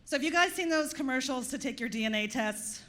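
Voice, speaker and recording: A woman's voice: sits high, lively intonation, fairly quick, a bit bright. A woman from Long Island, New York, with a Long Island accent, performing a stand-up comedy set in a club, delivering dry, self-deprecating punchlines. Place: comedy club stage with audience.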